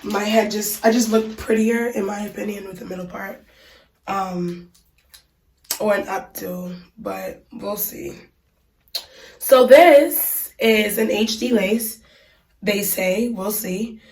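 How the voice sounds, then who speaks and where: A woman's voice: medium pitch, takes it slowly, a little mellow, slight background noise. A woman with a stuffy voice from a cold, chatting casually through a hair unboxing review with lots of ums and likes. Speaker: beauty vlogger reviewing hair. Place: small bathroom. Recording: echoey room, mic at a distance.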